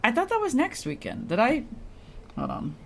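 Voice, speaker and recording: A woman's voice: a little high, wildly swooping pitch, normal speed, gravelly, a bit bright, a little hiss or hum. A woman chatting casually on a gaming livestream, with playful, rambling commentary.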